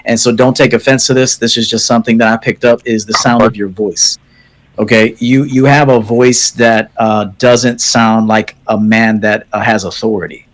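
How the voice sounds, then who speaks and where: Male co-host, blunt and direct, lectures the caller, mid-pitched voice. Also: fairly even intonation, moderate pace, low-quality recording. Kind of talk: call-in advice show.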